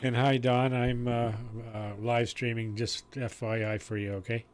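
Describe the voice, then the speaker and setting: Relaxed, halting net host. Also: male voice, medium pitch, clear, resonant tone. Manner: relaxed and halting, with many fillers. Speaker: host and live streamer. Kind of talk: casual on-air chat.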